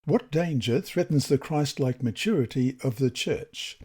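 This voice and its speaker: A man reads a scripted religious lesson in a deliberate, expressive manner with measured phrasing. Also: medium pitch, husky. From Australia. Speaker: reader of Bible study lessons.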